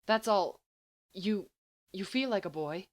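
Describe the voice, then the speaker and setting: Earnest, irritable teenage boy, acted by a woman reader, halting and flustered, lively pitch, audiobook. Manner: halting, stammering.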